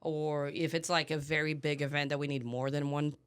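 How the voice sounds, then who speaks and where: A woman's voice: deep voice, flat delivery, a little fast, smooth. A woman in her thirties from Brazil, whose first language is Portuguese, talking candidly as a podcast guest and laughing often. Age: 30s. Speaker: head brewer guest. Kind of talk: casual conversation.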